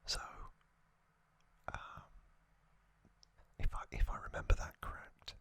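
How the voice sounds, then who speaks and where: Slow, breathy ASMR whisperer. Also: a bit bright. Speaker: host of an ASMR channel.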